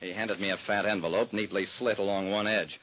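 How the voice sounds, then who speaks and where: A man's voice: lowish voice, steady tone, a little fast, slight background noise, close to an everyday voice. An actor playing a private detective who narrates his own cases in wry, hard-boiled first person, in 1940s radio drama style, on a dull lo-fi old recording.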